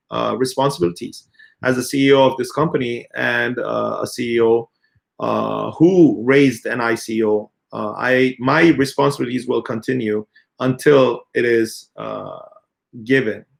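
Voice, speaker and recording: Halting man in his thirties, gravelly. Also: medium pitch. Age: 30s. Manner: halting, many filler sounds, earnest. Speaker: company CEO answering questions. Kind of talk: unscripted spoken answer in an AMA.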